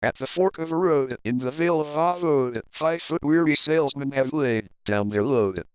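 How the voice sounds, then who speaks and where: A man's voice: on the high side, moderate pace, smooth, dry recording, muffled recording. A man narrating a children's picture book as a readalong, reading rhyming verse expressively and rhythmically. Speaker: storybook narrator.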